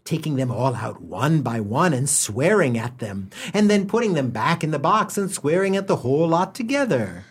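A wry, mock-solemn humorist acted by a man narrates a comic radio play. The voice is a little rough and swings widely in pitch, leaning hard on key words with theatrical relish. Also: sing-song, normal speed, hardly any pauses, heavily acted. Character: wry humorist narrator gently mocking a boy's gifts. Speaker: storytelling narrator.

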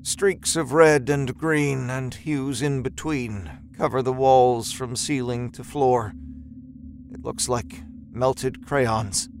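A man reading first-person horror fiction as dramatic scripted narration, with a deliberate, theatrical delivery. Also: mid-range voice, steady tone, slight background noise. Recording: narration over a music score. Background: soft musical score.

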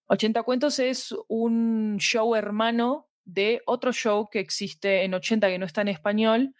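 A woman's voice: fairly high pitch, fairly even intonation, measured pace, dry recording, studio quality. A woman explains her work conversationally in an interview, reflectively searching for precise words. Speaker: podcast fiction producer, interview guest.

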